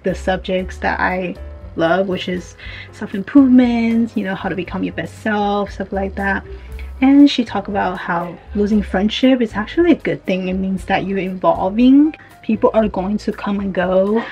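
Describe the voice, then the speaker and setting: A woman in her twenties, raised in China with Chinese as her first language, chats in a casual video-diary style. Her voice sits at a middling pitch. She speaks informally and confidingly, with music playing behind her. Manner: informal, frequent "like" and "you know". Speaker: vlogger.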